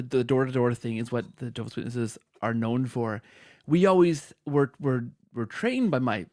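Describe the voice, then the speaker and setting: Male podcast host, lighter pitch with creaky edges, animated conversational storytelling. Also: dry recording, studio quality. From Canada. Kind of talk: conversational interview.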